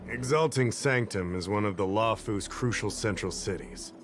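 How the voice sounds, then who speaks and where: An actor voicing a relaxed, authoritative male official in a video game, confident and lightly humorous. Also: lowish voice, moderate pace, close to an everyday voice. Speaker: commander of an investigation. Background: game music.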